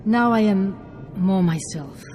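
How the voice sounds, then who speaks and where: Woman as a mellow, gentle Jedi mentor. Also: medium pitch, soft background music, lightly acted. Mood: reflective. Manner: earnest, measured, formal. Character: gentle, grateful female Jedi master and mentor. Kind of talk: video game voice acting.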